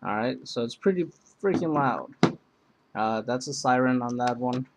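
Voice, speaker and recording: A man casually demonstrating and comparing two emergency radios, rambling with many pauses and fillers. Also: medium pitch, animated, very noisy. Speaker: product reviewer. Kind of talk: casual product demo.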